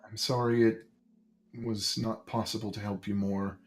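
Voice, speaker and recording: A man voicing a nervous, bewildered baron who stammers in a hushed, low voice. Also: level tone, normal speed, slight background noise, close to an everyday voice. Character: flustered, nervous male noble, a baron.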